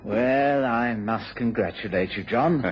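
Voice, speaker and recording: A man's voice: mid-range voice, a little slow, close to an everyday voice. An actor playing a kindly, effusive middle-aged country clergyman with a British accent, in old radio drama style. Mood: tender, earnest. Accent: British English. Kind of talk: 1950s-60s BBC radio drama acting. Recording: muffled old radio recording, faint noise.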